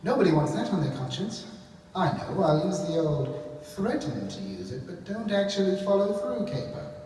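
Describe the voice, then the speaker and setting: In an echoey theatre, far from the mic, a bumbling evil professor rants in theatrical bluster. The voice sits high and leaps about wildly, petulant and exasperated, as in a comic stage serial. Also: male voice, sing-song, normal speed, dark tone. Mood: petulant scheming. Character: hammy bumbling male mad scientist villain, petulant and blustering. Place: theatre stage before a live audience.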